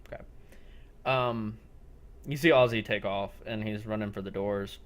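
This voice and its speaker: A man with a fairly low voice runs a role-playing game. He describes the scene off the cuff in a halting way and checks with players on what they do. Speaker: game master. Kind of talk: improvised narration of a tabletop-style zombie role-play.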